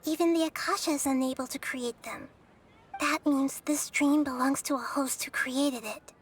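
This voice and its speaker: Woman as a high-voiced, gentle, wise child goddess. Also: fairly even intonation, normal speed, a little hiss or hum, close to an everyday voice. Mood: thoughtful, instructive. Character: gentle wise childlike young goddess, thoughtful and self-doubting. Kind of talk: English video game dub acting.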